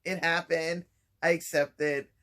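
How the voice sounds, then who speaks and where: A man talking softly and haltingly in a personal vlog, with many pauses and restarts. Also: level tone. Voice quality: low voice. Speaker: vlogger. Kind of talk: personal vlog, reflective talk.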